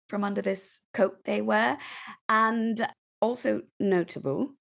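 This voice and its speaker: Woman, lowish voice, creaky, measured phrasing, improvised roleplay narration, dry asides. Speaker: player in a roleplaying podcast. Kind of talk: improvised character description for tabletop roleplay.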